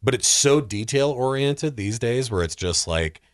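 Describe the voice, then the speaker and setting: A man talking casually as a podcast co-host, thinking out loud about films and games. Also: mid-range voice, animated, unhurried, dry recording, clean studio sound.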